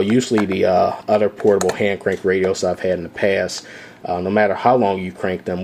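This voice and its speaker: A man with a slightly low voice talks through a gadget review in an easy, unscripted way. He fills his pauses with frequent "uh"s. A faint ambient hum sits behind him. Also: a little mellow. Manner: unscripted, many "uh" fillers. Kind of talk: casual product walkthrough.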